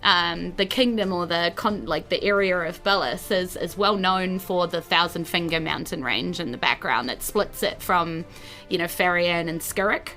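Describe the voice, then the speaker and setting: A woman's voice: fairly low pitch, moderate pace, pushed and bright, soft background music. A woman with a New Zealand accent, improvising narration as a tabletop game master.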